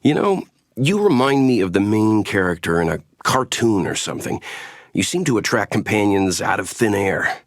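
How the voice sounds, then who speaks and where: An actor playing a calm, kindly, seasoned space traveler and mentor in a video game, speaking in a measured, reflective way. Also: male voice, on the low side, no room sound, studio quality. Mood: friendly, musing. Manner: measured, reflective, gently avuncular. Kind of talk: scripted game character voice lines.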